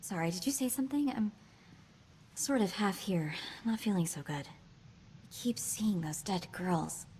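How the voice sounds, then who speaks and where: A woman voicing a quick-witted, sardonic young female hacker in a space video game, chatty in manner. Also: mid-range voice, warm tone, a little hiss or hum, lightly acted. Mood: shaken, subdued.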